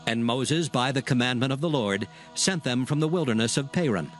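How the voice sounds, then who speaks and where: A man narrating scripture in a measured, formal reading. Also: medium pitch. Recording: music under the voice.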